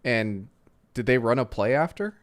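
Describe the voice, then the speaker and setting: A man's voice: mid-range voice, animated, moderate pace. A man co-hosting a sports stream, explaining his points casually with frequent asides. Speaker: sports livestream co-host. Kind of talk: casual analytical sports and betting chat.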